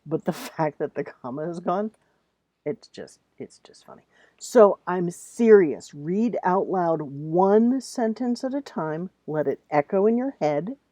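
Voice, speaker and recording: A woman giving a casual, conversational writing lesson, reading with deliberate emphasis and commenting plainly. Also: lowish voice, expressive, mellow, no echo. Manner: emphatic, reads examples aloud deliberately, then comments plainly; informal, conversational. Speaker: writing teacher. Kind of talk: casual instructional talk to students.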